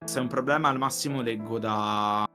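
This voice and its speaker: A woman's voice: a bass voice, normal speed, sharp tone. Casual woman co-streamer, music behind. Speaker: live stream co-host. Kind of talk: casual chat. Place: online voice call.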